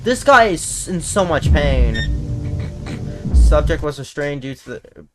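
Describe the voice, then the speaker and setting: A man's voice: a little high, big pitch swings, slow pace, over music. A man giving informal, slangy reaction commentary as a video host, sometimes reading text aloud. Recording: noticeable background noise.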